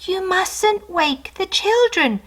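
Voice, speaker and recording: Gentle, cautioning storybook creature played by a woman, whispered and high, words stretched out slowly. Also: lively intonation, heavily acted. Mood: hushed warning. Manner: whispered, drawn-out, hushing. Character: gentle, cautioning storybook animals hushing someone.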